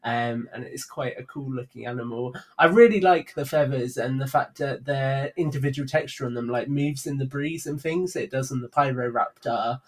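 A man's voice: medium pitch, moderate pace, few pauses. A man speaking as a podcast guest, explaining things in casual, enthusiastic, informal chat.

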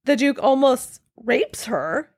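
A woman's voice: high-pitched, animated, takes it slowly, breathy edge, dry recording, studio quality. A woman co-hosting a casual film discussion, enthusiastic and swearing casually.

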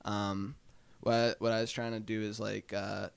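Young man, low gravelly voice, halting speech full of fillers, dry close sound. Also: flat delivery, dry recording. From Manchester, New Hampshire. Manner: halting, many fillers and restarts. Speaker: guest photographer. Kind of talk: casual podcast chat.

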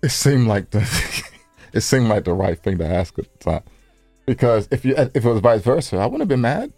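A man's voice: mid-range voice, sing-song, a little fast, mellow, no echo, soft background music. A man co-hosting a podcast in casual banter, teasing and repeating phrases for emphasis. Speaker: podcast co-host. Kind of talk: casual bantering chat with wife.

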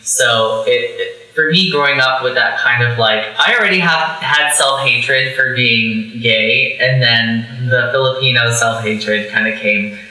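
A young man, a drag performer, talking candidly on a podcast with lots of fillers and animated storytelling. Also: mid-range voice, a little slow, distant mic. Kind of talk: casual podcast conversation. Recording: echoey room, away from the mic.

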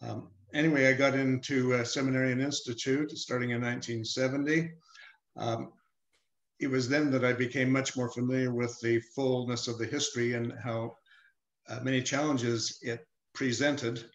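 Older man, flat unhurried voice. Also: medium pitch, reverberant, lo-fi sound. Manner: measured, hesitant, many "uh" fillers. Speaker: emeritus professor, guest. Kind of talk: reflective interview answers.

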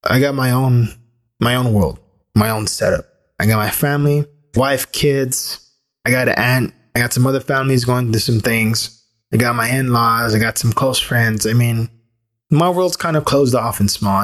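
A man's voice: medium pitch, normal speed, fairly bright tone. A man in his thirties hosting a solo podcast, talking candidly and thinking aloud with restarts and the odd swear word. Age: 30s. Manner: casual with occasional swearing. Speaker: podcast host. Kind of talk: solo reflective podcast monologue.

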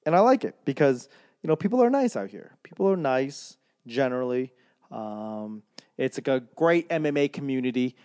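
Casting: young guy, rambling profane comic rant, dry close sound, mid pitch. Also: expressive, normal speed, a little mellow, no echo. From Boston. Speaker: comedian and podcaster. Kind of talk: casual monologue.

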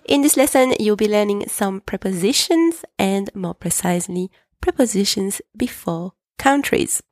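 A woman hosting a podcast lesson, patiently explaining and repeating French examples slowly. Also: medium pitch, animated, a little mellow, no room sound, clean studio sound. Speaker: French teacher, podcast host.

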